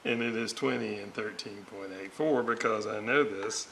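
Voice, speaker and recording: A man with a gravelly voice, muttering haltingly to himself as he thinks aloud through technical work and repeats readings. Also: on the low side, fairly bright tone. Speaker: radio restorer. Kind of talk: thinking aloud while working. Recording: clearly noisy workshop recording.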